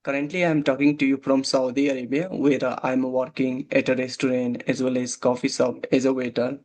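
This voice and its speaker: A man's voice: medium pitch, level tone, fairly quick, darkish tone. A young man, a guest in a casual talk, speaking hesitantly with many "like" and "uh" fillers. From Nepal. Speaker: guest, waiter. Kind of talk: casual conversation practice.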